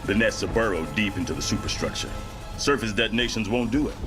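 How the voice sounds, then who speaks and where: Man playing a gruff older general, low-voiced. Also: animated, fast talker, close to an everyday voice. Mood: authoritative. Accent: American. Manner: blunt, folksy, authoritative. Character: gruff, battle-worn older male army general. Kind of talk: video game cinematic acting. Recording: music behind the voice.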